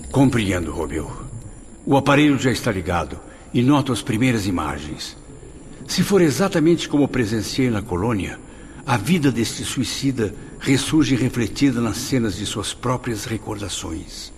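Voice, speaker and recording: A man's voice: mid-range voice, sing-song, moderate pace, a little hiss or hum. A man acting in a radio drama as an earnest spirit who recounts what he witnessed, grave and emphatic. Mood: earnest, observing.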